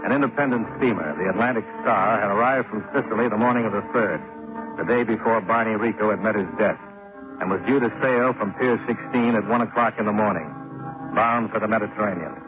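An American actor playing a hard-boiled, wry investigator, narrating a 1950s radio detective drama in a clipped, matter-of-fact way. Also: male voice, on the low side, fairly quick, close to an everyday voice. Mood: wry narration. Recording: tinny old 1950s radio recording, music behind.

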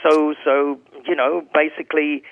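A man's voice: a little high, flat delivery, unhurried, vocal fry, dry recording. A man in his seventies, a singer chatting as an interview guest, rambling through anecdotes with restarts. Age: 70s. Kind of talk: casual interview chat. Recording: phone-line audio.

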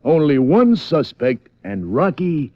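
Bullying cop, skeptical, very animated delivery, sarcastic grilling, dull 1950s radio sound. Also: male voice, on the high side, slow, dry recording, exaggerated voice. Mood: accusing. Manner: needling, blunt interrogation. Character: skeptical, bullying, sarcastic male homicide police sergeant. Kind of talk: 1950s radio drama acting. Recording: muffled 1950s radio broadcast.

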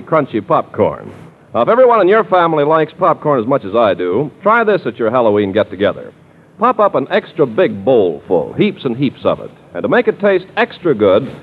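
A man working as a cheery announcer, reading scripted pitches and narration in 1940s radio style. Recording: tinny, band-limited 1940s radio broadcast.

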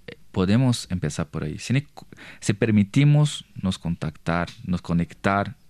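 A young man from Brazil speaking Spanish with a Brazilian Portuguese accent, a guest on a radio show who pauses often to search for words. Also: deep voice, lively intonation, darkish tone, dry recording. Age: young adult. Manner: hesitant, searching for words in a second language. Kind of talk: radio interview.

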